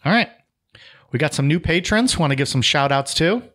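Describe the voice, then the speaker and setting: A young man hosting a casual podcast, joking with his co-hosts and reading out listener shout-outs. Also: a little high, animated, normal speed. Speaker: podcast host.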